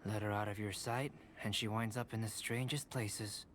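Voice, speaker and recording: A man playing a measured, scholarly young alchemist. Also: fairly low pitch, fairly even intonation, a bit bright, slight background noise, lightly acted. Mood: composed. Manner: measured, formal, articulate. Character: calm, scholarly young male alchemist researcher. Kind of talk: video game voice acting. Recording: game music behind the voice.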